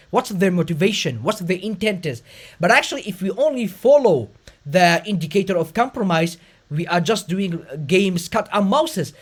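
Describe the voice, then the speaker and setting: High-pitched host, lots of uh and restarts, explaining stuff. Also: male voice. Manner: fast, effortful talk with frequent fillers and restarts. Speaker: podcast host. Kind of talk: conversational interview.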